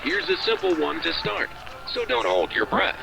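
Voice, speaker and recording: An actor playing a taunting ghostly entity that talks like an old military radio announcer, clipped, commanding and mockingly playful. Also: male voice, sits high, expressive, normal speed, gravelly, over music, heavily acted, poor audio. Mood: mocking, official. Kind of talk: 1940s radio announcer acting, quiz-master delivery.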